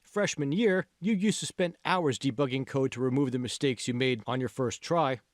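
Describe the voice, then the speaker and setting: A man's voice: mid-range voice, normal speed, no echo, studio quality, close to an everyday voice. A man voicing a confident, encouraging college friend in an audiobook, prodding and persuasive. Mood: insistent encouragement. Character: confident, encouraging young male college friend, insistent coach. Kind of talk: audiobook character dialogue.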